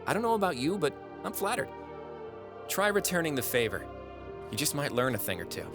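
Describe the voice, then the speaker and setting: An actor playing a wry, folksy, sardonic narrator who observes humans in an audio drama. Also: male voice, a little high, big pitch swings, brisk. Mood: wry, teasing. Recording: music under the voice. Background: prominent music.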